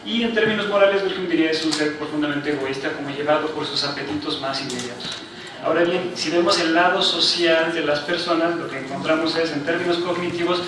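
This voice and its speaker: A somewhat high-voiced man lectures reflectively, pausing on fillers and checking in with the room. The sound comes from far away in a very echoey lecture hall, with a layer of noise. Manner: reflective, with frequent fillers and questioning tags. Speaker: book presentation panelist. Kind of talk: academic lecture talk.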